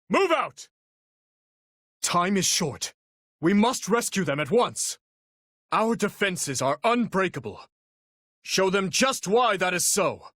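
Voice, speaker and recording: A man's voice: sits high, a bit bright, no echo, clean studio sound, full character voice. A man voicing a noble, earnest young knight-commander in a video game, speaking formally and solemnly with resolve. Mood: rallying, urgent. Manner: formal, measured, earnest delivery. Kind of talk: dramatic video game voice acting.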